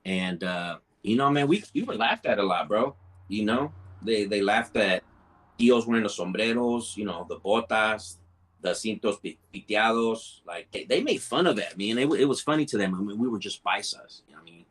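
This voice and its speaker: Laid-back San man, low voice. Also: moderate pace, a bit bright, rough recording. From Mexico. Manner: laid-back street slang, mixes Spanish phrases, lots of "bro" and "man". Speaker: podcast guest, musician. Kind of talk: casual podcast chat.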